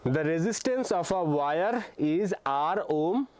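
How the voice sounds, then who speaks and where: A young male physics teacher gives a live online class for exam preparation. His voice sits a little high for a man. He works through each point step by step, hesitating often and checking in with the class. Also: expressive, takes it slowly, darkish tone, no echo. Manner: frequent hesitations with uh and um.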